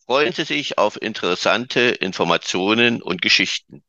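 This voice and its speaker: A man hosting an educational podcast, introducing and questioning his guest in a formal, measured way. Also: fairly low pitch, animated, dull audio, heavy background noise. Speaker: podcast host, exchange advisor. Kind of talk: podcast interview.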